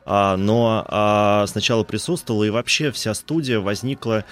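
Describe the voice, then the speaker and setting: A man with a fairly low voice explains his field as a studio guest. He speaks informally and haltingly, circling back over words and qualifying his points as he goes. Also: fairly bright tone. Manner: halting, hedging, repeats words while thinking aloud. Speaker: guest film critic and animation historian. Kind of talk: radio interview, informal expert explanation.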